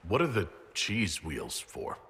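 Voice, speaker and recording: Husky, forceful game hero. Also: male voice, fairly low pitch, wildly swooping pitch, takes it slowly, exaggerated voice. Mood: teasing, curious. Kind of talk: video game dialogue acting.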